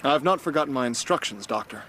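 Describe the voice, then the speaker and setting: An actor playing a brisk, plain-spoken young heir in a radio drama, with a bluff, direct, informal manner. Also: male voice, medium pitch, animated, sharp tone. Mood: easygoing, agreeable. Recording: outdoor ambience noise, vehicle sounds.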